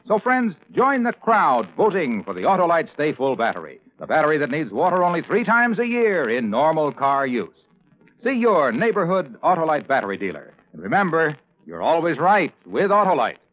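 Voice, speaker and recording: A man announcing on vintage radio, delivering a scripted commercial with brisk, polished salesmanship. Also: fairly high pitch, wildly swooping pitch, narrow, tinny audio. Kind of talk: scripted old-time radio sales pitch. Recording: band-limited old radio recording.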